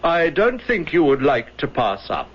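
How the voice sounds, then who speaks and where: Suave salesman, swooping high-ish voice. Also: very animated, measured pace, very noisy. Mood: furtive, ingratiating. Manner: polished, ingratiating, formal. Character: suave, furtive salesman, secretly a spy. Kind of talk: old-time radio drama acting. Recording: dull vintage radio recording.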